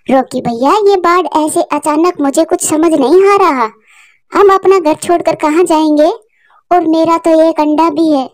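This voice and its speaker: Cartoon female bird, played by a woman: high-pitched, gentle, anxious, expressive, close dry sound. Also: unhurried, no room sound. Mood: distressed. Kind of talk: Hindi cartoon story dialogue.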